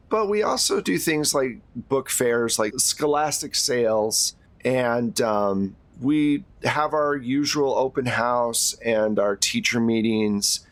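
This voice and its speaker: A man's voice: mid-range voice, a bit bright, no echo. A man playing a reserved, weary middle-aged school administrator in a tabletop game, choosing his words carefully and hesitantly. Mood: matter-of-fact.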